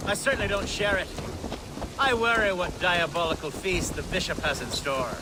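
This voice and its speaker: Actor as a fretful high-voiced pious Saxon. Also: male voice, normal speed, lightly acted. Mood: disapproving, worried. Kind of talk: video game cutscene dialogue. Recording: windy outdoor noise behind.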